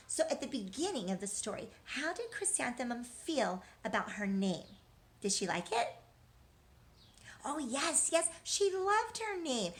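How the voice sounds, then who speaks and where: A woman's voice: on the high side, fairly bright tone. A woman leading an interactive read-aloud and lesson for young children, asking questions and answering them in an encouraging, reassuring way.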